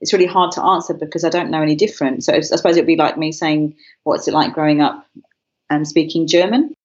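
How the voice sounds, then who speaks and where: A woman's voice: sits low, fairly even intonation, quick pace, highs cut off. A woman from Britain, interviewed as a guest, talking about her life in a reflective, candid way. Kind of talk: conversational interview.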